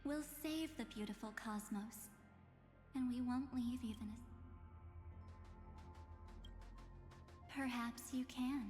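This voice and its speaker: A woman's voice: fairly high pitch, moderate pace, darkish tone, close to an everyday voice. A woman playing an obsessed, scheming villainess in a video game, speaking with theatrical condescension and menacing endearments. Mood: persuasive. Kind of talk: scripted video game voice acting. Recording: echoey long reverb with music behind.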